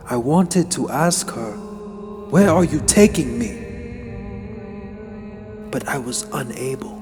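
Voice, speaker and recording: A man giving a solemn, deliberate, suspenseful dramatic reading of a first-person horror story. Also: lowish voice. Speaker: narrator. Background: background music.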